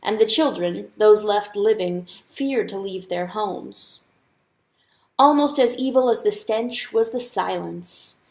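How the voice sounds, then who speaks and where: A woman's voice: medium pitch, takes it slowly. A woman narrating an audiobook story as a dramatic, scripted reading. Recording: echoey, roomy sound.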